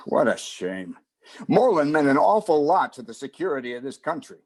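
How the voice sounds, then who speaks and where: A man's voice: a little high, sing-song, normal speed. A man playing a wry, patronizing police lieutenant. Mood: somber. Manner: teasing and condescending. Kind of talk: 1940s radio acting.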